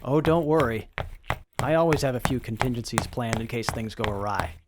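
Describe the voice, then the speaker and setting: An actress playing a loyal, brainy machine expert with a matter-of-fact, measured manner in an audio drama. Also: female voice, extremely low pitch, background noise. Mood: calm, earnest. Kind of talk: scripted post-apocalyptic audio drama acting.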